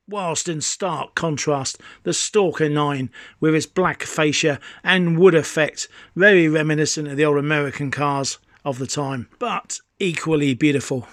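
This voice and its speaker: A man's voice: on the high side, dry recording, studio quality. A man in his fifties presenting a hobby showcase in an enthusiastic, savouring, exclamatory way. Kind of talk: enthusiastic product showcase narration.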